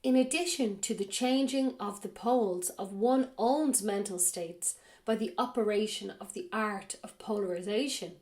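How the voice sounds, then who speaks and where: A woman hosting a podcast, reading a spiritual text aloud in a slow, measured way and then commenting on it, her voice a little raspy from a cold. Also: medium pitch, a little mellow. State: has a cold. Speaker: podcast host.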